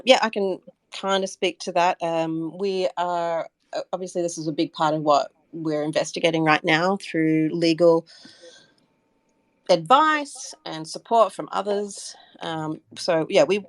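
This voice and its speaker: A woman's voice: lowish voice, a little slow, dry recording. A woman speaking as a community representative in a casual interview, explaining things in a hesitant way. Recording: online audio chat room.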